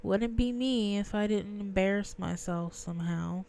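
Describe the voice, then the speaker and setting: Young woman, livestream host, chatty with viewers, nasal, stuffy nose, smooth voice, middle pitch. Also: unhurried, mellow. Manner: chatty, answers viewers' messages. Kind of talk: casual livestream chat.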